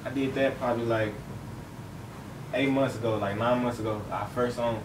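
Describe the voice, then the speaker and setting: A man's voice: mid-range voice, steady tone, moderate pace. A young man from Fort Worth, talking loosely and full of slang as a guest in a casual interview. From Fort Worth, Texas. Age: young adult. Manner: slangy, loose, rambling with fillers. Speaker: rapper guest. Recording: roomy, distant, faint hiss.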